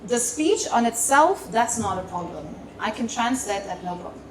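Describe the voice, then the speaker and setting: A woman's voice: a little high, expressive, moderate pace. A woman whose first language is German, moderating a live panel discussion and often repeating words as she searches for them. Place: seminar hall at a festival. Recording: roomy echo from far off, loud background noise.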